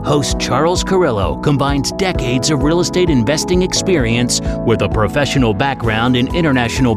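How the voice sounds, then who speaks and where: Lively announcer man over loud music. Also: medium pitch. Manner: lively, polished announcer delivery. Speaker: show announcer. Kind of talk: scripted announcer intro.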